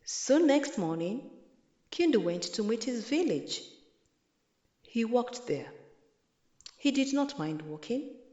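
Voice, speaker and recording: A woman narrates a children's story in a roomy, echoing space. Her delivery is unhurried and deliberate, with a very animated melody that swings up and down. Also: on the high side, highs cut off. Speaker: storyteller reading a children's book. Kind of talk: expressive read-aloud children's story narration. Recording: echoey, roomy sound.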